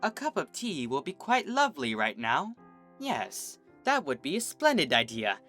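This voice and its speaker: Man playing an elegant doting pony lady, posh and soft-spoken, lilting delivery. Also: a little high, wildly swooping pitch, normal speed, soft background music, exaggerated voice. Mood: gracious. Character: refined elegant grown mare, gentle and doting.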